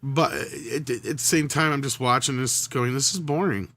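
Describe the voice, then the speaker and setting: A man's voice: mid-range voice, normal speed, fairly bright tone. A man in casual conversation, giving candid opinions with some hesitation. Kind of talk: casual chat.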